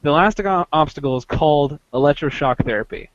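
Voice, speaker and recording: A man hosting a podcast, casually recounting an experience in a halting way, often interrupting himself as he goes. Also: fairly high pitch, no room sound, muffled recording. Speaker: podcast host telling a story.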